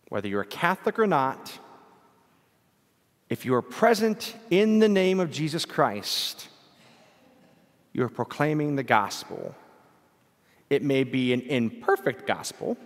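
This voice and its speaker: A man preaching a homily as a priest, a native English speaker, earnest and unhurried with frequent self-deprecating chuckles, recorded in a church during an evening Mass. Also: sits high. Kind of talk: bilingual homily. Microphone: church sound system, livestreamed. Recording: long church-hall reverb.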